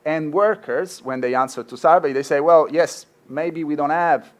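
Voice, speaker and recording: A man's voice: fairly high pitch, normal speed. A middle-aged man with a marked Italian accent, a law professor speaking earnestly at a conference, with frequent fillers and restarts. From Italy. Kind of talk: conference talk.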